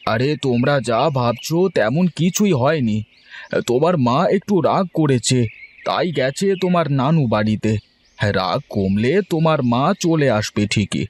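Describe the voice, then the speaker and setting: A man voicing a gruff, hot-tempered middle-aged hotel owner in a cartoon. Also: medium pitch, lively intonation, moderate pace, natural voice. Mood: soothing. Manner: gruff, threatening when angry. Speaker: voice actor in a dubbed cartoon.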